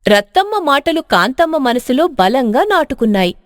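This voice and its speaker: A woman's voice: sits high, big pitch swings, normal speed, no room sound, clean studio sound. A woman narrating a scripted moral story as a storyteller.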